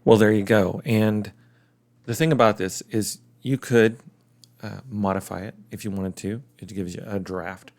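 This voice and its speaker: A man's voice: fairly low pitch, steady tone, measured pace. A middle-aged man hosting a casual, conversational demonstration in a musing way. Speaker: host.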